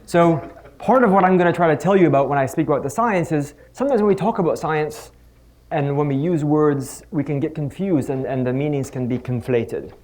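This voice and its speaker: A man's voice: a little high, brisk, a little mellow. Wry man giving a lecture. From Netherlands. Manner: wry, self-deprecating, conversational with frequent restarts. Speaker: physics professor giving a lecture. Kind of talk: conference talk with humorous anecdotes. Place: conference stage before a live audience.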